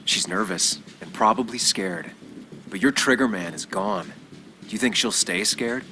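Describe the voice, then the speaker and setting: Game hero, very deep voice, righteous male reporter, terse, restrained, defiant. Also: unhurried, bright, forceful tone, slight background noise. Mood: grimly defiant. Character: determined, righteous male investigative journalist, grimly defiant. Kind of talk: video game dramatic acting.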